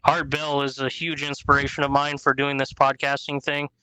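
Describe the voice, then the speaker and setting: Man, thirties, podcast guest, easygoing chat, even tone, crisp voice. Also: medium pitch, normal speed, no echo, low-quality recording. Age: 30s. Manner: easygoing, chatty, storytelling.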